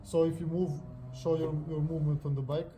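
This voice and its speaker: Serbian accent guy chatting casually about bikes, mellow voice, bit of background noise. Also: on the high side, little pitch movement, fairly quick, rough recording. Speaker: motorcycle reviewer, host. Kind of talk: casual unscripted vlog talk.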